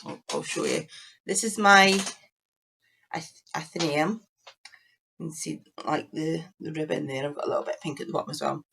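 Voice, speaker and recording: A woman hosting her crafting podcast, chatting casually to camera with a croaky voice while she recovers from illness. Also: low voice, moderate pace, long pauses, noisy background. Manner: chatty, rambling, shows knitting to camera. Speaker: podcast host.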